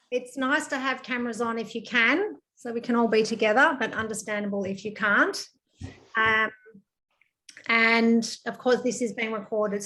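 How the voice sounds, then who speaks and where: A woman, croaky from a sinus problem, talks to a group over a video call. She speaks gently and reassuringly, with frequent ums and small restarts, into a computer mic. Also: on the high side, rough recording. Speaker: counsellor hosting an online group session.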